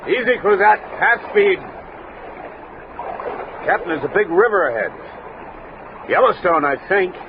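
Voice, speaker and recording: A dutiful, easygoing frontier sergeant in a vintage radio drama. The voice is high for a man and gives short, clipped reports. The sound is muffled and noisy. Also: lively intonation, a little slow, long pauses. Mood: alert, dutiful. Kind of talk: 1960s radio western acting.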